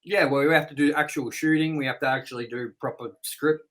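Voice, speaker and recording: A man hosting a review show, rambling and excitable as he wraps up the episode with plugs. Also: fairly high pitch, a little fast. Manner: rambling and excitable, with sound-effect noises. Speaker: host. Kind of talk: casual episode wrap-up with plugs.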